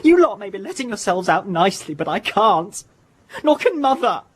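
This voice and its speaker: A man's voice: sits high, big pitch swings, moderate pace, fairly bright tone, full character voice. A man playing a guilt-ridden young son of a wealthy family in a period radio drama, blunt, emotional and accusing. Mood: bitter, upset. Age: young adult. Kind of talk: period stage-play radio drama acting.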